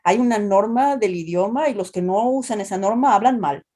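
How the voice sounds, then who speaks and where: A woman's voice: mid-range voice, normal speed, dark tone. A woman from Mexico with a Mexican accent, a translation professor explaining ideas in an interview with many restarts and tag questions. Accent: Mexican Spanish. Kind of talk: academic interview talk.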